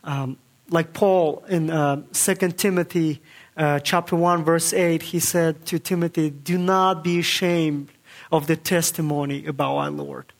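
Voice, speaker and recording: A young man gives his church testimony, his voice a touch higher than most men's. He talks earnestly and haltingly, with many fillers and restarts as he searches for words. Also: fairly even intonation, creaky. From Kazakhstan. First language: Kazakh. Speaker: guest speaker giving testimony.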